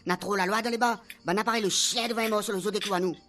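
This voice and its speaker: A woman's voice: medium pitch, steady tone, very fast, fairly bright tone, voice pushed up, natural voice. A woman voicing a bossy but good-natured island man with a Réunion Creole accent, chiding and colloquial in a comic radio serial. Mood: cheerful.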